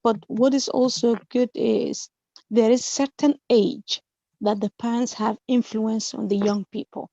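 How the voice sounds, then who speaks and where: A woman speaking hesitantly in an online community discussion, pausing on fillers. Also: fairly high pitch, fairly even intonation, vocal fry, dark tone, dry recording. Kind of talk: community meeting talk. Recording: dull dry lo-fi line.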